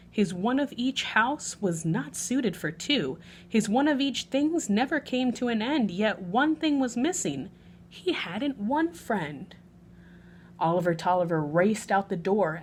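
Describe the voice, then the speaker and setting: A woman reading a rhyming picture book aloud for children as a bedtime story, expressive and measured, stretching the ends of lines. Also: mid-range voice, slight background noise.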